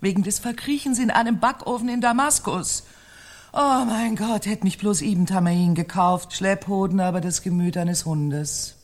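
A woman's voice: medium pitch, normal speed, close to an everyday voice. A woman playing a scornful, coarse-tongued medieval lady in a radio play, blunt and mocking. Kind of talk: German radio play acting.

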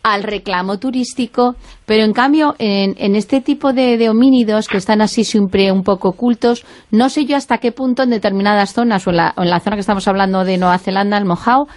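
A woman's voice: mid-range voice, brisk, darkish tone. A woman with a Spanish accent, co-hosting a radio show in a chatty, hedging way. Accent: Spanish (Spain). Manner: chatty, hedging, self-correcting. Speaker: radio co-host. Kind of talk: radio chat.

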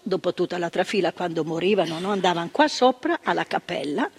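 An actress playing an older woman who once worked in a psychiatric hospital, telling her memories, dropping to hushed tones and rising to emphatic outbursts. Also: fairly low pitch, slightly rough. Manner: vivid storytelling, swinging from hushed to emphatic outbursts. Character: older woman, former asylum ward worker, indignant. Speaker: monologue performer. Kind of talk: stage monologue.

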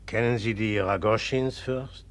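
An actor in a 1950s German radio play, playing a sceptical, domineering young merchant's son, gruff and impatient, repeating words curtly. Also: male voice, fairly low pitch, steady tone, a little slow, close to an everyday voice. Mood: probing. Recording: faintly noisy 1950s radio recording.

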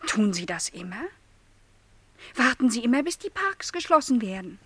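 High-voiced, earnest young housemaid. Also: female voice, sing-song, normal speed, fairly bright tone, breathy edge, full character voice. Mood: gently insistent. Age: young adult. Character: young lonely housemaid, earnest, shy, gently insistent. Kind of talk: literary radio play dialogue.

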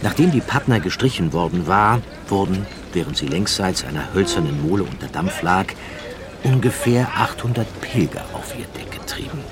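A man narrating a literary radio drama in a measured, solemn style with dramatic phrasing. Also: deep voice, animated. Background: prominent music.

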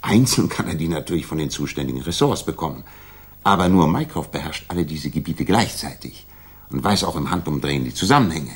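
An actor playing a restless, sharp-minded gentleman detective, theatrical and articulate, in a 1960s radio drama. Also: extremely low pitch, animated, brisk, breathy edge, full character voice. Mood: explaining, dry.

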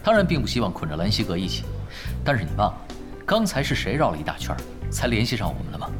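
A man's voice: fairly low pitch, wildly swooping pitch, fast. A man acting a shrewd, unflappable veteran gamer in a radio drama, easygoing and dryly teasing with mock modesty. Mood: explaining. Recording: studio voice over clear background music.

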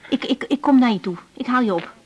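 High, husky caring young woman doctor. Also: lively intonation, fast talker, muffled recording. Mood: concerned, surprised. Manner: hesitant, questioning, softly concerned. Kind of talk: naturalistic 1980s radio drama acting.

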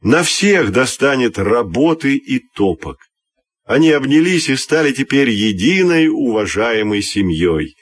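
A man narrating a scripted fairy tale for children's radio in an expressive, theatrical storyteller's manner. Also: mid-range voice, unhurried, breathy edge, no room sound.

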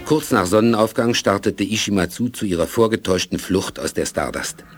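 A man's voice: lowish voice, a little fast, over music, natural voice. A man narrating a science fiction radio play in a scripted storytelling style. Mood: measured. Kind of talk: scripted audio drama narration.

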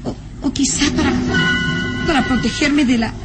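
An actress playing a puzzled, frightened young noblewoman, halting and melodramatic, in an old radio serial. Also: high voice, music in the background, lightly acted. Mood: fearful, halting.

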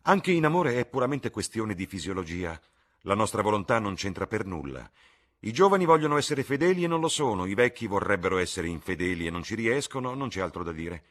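A man's voice: lowish voice, sing-song, brisk, a bit bright, voice pushed up. Man doing a witty, mocking aristocrat, Lord Henry. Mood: declaiming. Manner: airy, mocking, theatrical. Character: witty languid aristocratic gentleman, older man. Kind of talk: audiobook character voice.

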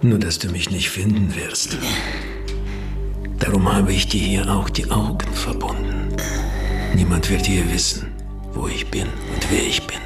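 A man's voice: low voice, steady tone, unhurried, long pauses, whispered, recorded from a distance, music in the background. A man playing a suave, menacing hitman in a noir radio play, politely smug, as if he were just a businessman. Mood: quietly menacing. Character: suave, menacing male contract killer posing as discreet businessman. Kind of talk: noir radio drama dialogue.

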